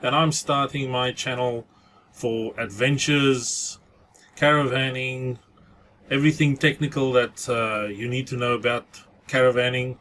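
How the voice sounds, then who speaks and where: A man's voice: medium pitch, little pitch movement, measured pace, fairly bright tone, slight background noise. A man from South Africa with a South African accent, talking casually to camera for his own channel and dropping in Afrikaans words. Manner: chatty, mixes in Afrikaans phrases. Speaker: vlogger. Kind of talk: casual vlog monologue.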